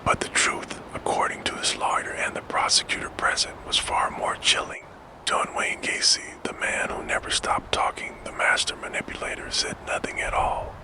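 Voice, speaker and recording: A man narrating true crime in a soft, fully unvoiced ASMR whisper, slow and measured, close to the microphone. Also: rough recording.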